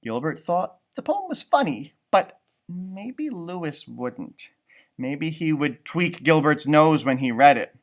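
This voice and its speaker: A man giving an expressive children's storybook read-aloud, adding exclamations and comments for young listeners. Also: on the high side, slow pace. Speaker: storybook reader, teacher.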